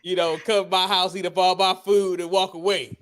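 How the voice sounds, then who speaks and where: American guy on a live podcast, pretty high voice, swears and ribs people, repeats himself. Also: level tone, a little fast, a bit bright. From United States. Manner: teasing, profane, repeats words for emphasis. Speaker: podcast co-host. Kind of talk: casual live banter.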